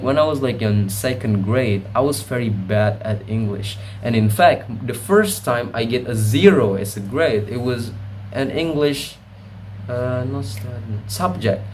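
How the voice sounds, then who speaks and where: Young guy vlogging, rambly, keeps correcting himself, cusses a lot, faint hum behind. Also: mid-range voice. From Indonesia. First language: Indonesian. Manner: rambling, self-correcting, swears freely. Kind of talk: casual rambling monologue.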